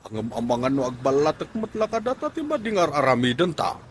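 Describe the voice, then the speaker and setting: A scheming comic buddy played by a man in an Ilocano radio comedy, with an Ilocano accent. His pitch leaps around in an exclamatory, hawker-like way. Background noise and ambience sit behind the voice. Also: sing-song, fast, close to an everyday voice. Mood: amused, scheming. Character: scheming comic buddy hawking leaves as cures.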